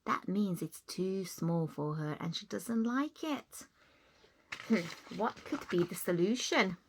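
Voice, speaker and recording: A woman's voice: medium pitch, takes it slowly, a little hiss or hum. A woman reading a children's storybook aloud as a teacher, expressively, with simple explaining asides for young listeners.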